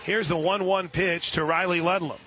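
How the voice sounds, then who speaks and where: Male radio softball announcer, higher-pitched voice, excitable live play-by-play, noisy stadium ambience behind. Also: normal speed. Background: clear background noise, ballpark ambience.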